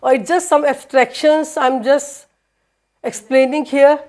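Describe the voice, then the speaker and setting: A woman's voice: high voice, steady tone, a little slow, a little mellow. A middle-aged woman whose first language is Hindi gives a university lecture in a room with a projector, recorded from a far camera. She hesitates often, with many fillers and restarts.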